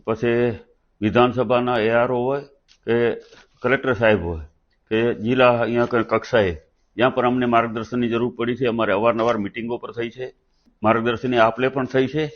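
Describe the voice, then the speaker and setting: Halting Kutchi man, slightly muffled recording. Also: medium pitch, steady tone. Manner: halting, with false starts and repetitions. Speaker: district political party president. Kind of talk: unscripted press statement.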